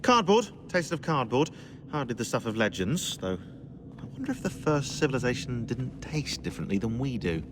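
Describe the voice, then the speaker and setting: A man acts out a witty, scholarly expedition member in a video game. His delivery swings widely in pitch, dry and sardonic, with clear background noise around him. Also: normal speed, natural voice. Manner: wry, erudite, animated.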